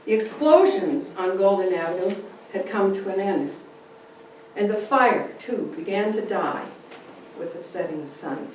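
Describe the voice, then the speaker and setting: The room echoes heavily around a woman narrating a story on stage-like terms. She reads slowly and dramatically, some way from the mic, with faint noise underneath. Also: mid-range voice, lively intonation, recorded from a distance. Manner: deliberate, dramatic phrasing. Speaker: speaker giving a dramatic reading. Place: indoor room, speaking to a group.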